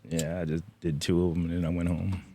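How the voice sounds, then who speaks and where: Fast-talking husky low-voiced man. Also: level tone, mellow. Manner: laid-back, short skeptical replies. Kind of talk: casual bar chat.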